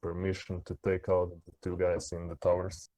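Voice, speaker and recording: Brief: male tabletop player, very low mellow voice, terse laconic replies in a game session. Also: completely flat delivery, normal speed, no echo.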